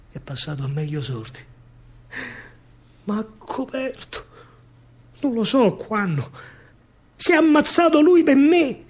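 A man with a Roman accent performing a theatrical verse monologue as a wartime soldier, declamatory, rhythmic and intensely emotive. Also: high voice. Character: wartime Italian soldier recounting a battle. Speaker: stage actor and storyteller. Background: music.

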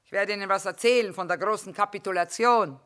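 A woman's voice: medium pitch, quick pace, natural voice. An actress playing a shrewd, middle-aged female war trader, earthy and sardonic, in theatrical style. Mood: sardonic, resigned. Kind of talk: theatrical stage acting with half-sung song.

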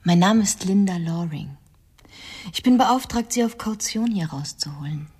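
A woman's voice: mid-range voice, lively intonation, moderate pace, darkish tone, breathy edge. An actress playing a cool, intense, wealthy woman in a radio crime drama, formal and reserved in her delivery. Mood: reserved, curt.

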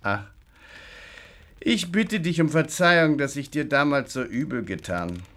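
An actor playing a boastful, cynical highwayman in a radio drama, with a swaggering, emphatic way of speaking. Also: lively intonation, unhurried, a bit bright. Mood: bragging, familiar. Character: boastful, cynical highwayman, rough former secretary turned robber.

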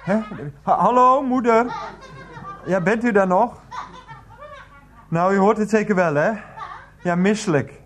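A man's voice: sits high, a little slow, long pauses, fairly bright tone. An actor playing a flustered, placating, henpecked husband and mother's boy on the telephone in a radio comedy drama.

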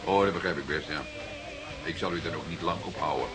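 An actor playing a seasoned, persistent police detective in a radio play, speaking deliberately and with dry formality. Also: male voice, rumbling low, music in the background. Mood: drily menacing. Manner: deliberate, dryly formal, spells out words. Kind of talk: Dutch radio play acting.

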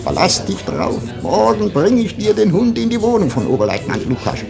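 A gravelly, wildly swooping shady schemer. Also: male voice, sits high, wildly swooping pitch, normal speed, a crowd in the background, exaggerated voice. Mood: scheming. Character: shady, folksy male dog thief, scheming and cocksure. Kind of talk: radio play acting.

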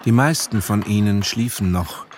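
A man's voice: on the low side, moderate pace, a little hiss or hum, natural voice. A man narrating a war story as the sober narrator of a literary radio play. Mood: sober, observant.

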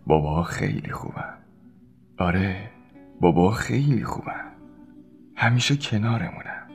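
A man with a low voice reads a sentimental radio tribute. Soft plucked-string music plays lightly behind him. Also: animated, vocal fry.

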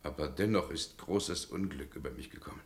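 A man's voice: a bass voice, fairly quick. An actor playing an ordinary condemned man in a radio play, led by his double and speaking in a hesitant, subdued way. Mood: resigned.

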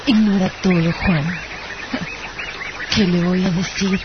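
A woman's voice: fairly low pitch, steady tone. A woman playing a tormented, obsessed young wife with dramatic delivery in a lurid radio melodrama. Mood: horrified. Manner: slow, anguished, dramatic delivery. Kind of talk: amateur radio melodrama acting. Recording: muffled lo-fi radio, noisy background.

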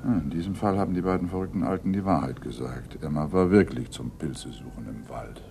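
A man's voice: a bass voice, a little fast, vocal fry, noisy background. A man acting a seasoned, middle-aged police inspector in a German radio crime drama, curt and authoritative. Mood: dry. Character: seasoned, brusque police inspector giving orders.

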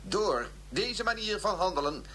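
A grave professor delivering a deliberate public declaration in a radio play. His voice is sharp and slightly high, over faint noise and hum. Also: sing-song, heavily acted. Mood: grave, declamatory. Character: coerced male physicist professor reading a grave public declaration. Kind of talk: radio drama speech.

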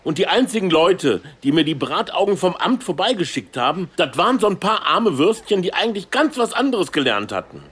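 A man's voice: high voice, big pitch swings, fairly quick, hardly any pauses, fairly bright tone, husky, exaggerated voice. An actor playing a crude, blunt male populist candidate who grumbles irreverently in a satirical radio play. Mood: dismissive. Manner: crude, plain-spoken, irreverent.

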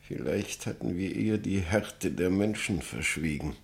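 An actor playing a resigned middle-aged pastor and father in a German radio drama, solemn, measured and subdued. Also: sits low, vocal fry. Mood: subdued sorrow. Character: resigned, patient pastor, father of missing daughter.

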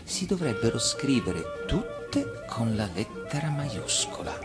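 A man, an actor, reading a children's fairy tale as expressive scripted narration. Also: mid-range voice, slow, over loud music.

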